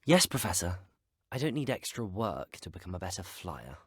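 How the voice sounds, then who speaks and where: A lowish, slightly husky British voice for an earnest teenage wizard student in a video game. He is courteous and curious, with a very mobile melody, recorded dry and close. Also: very animated, moderate pace, fairly bright tone, no echo, exaggerated voice. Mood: polite, matter-of-fact. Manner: courteous, well-spoken, formal. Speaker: player character voice actor. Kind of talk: scripted video game voice lines.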